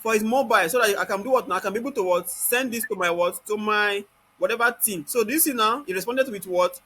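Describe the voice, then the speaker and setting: A Nigerian-accented man giving an informal step-by-step software tutorial, constantly asking rhetorical questions and answering them himself. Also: sits high, quick pace, a little hiss or hum.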